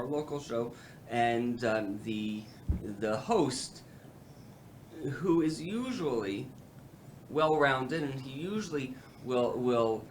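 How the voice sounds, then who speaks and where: A man's voice: mid-range voice, slight background noise. A man, president of a policy institute, hosting a roundtable and speaking with many fillers and restarts. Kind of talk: halting roundtable remarks.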